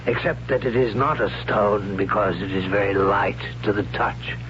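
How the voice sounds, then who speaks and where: An actor playing an awed, reluctant man of a primitive future, speaking haltingly and solemnly in 1970s radio drama style. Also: lowish voice, lightly acted. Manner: halting, solemn, hushed dread. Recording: dull, noisy 1970s radio recording.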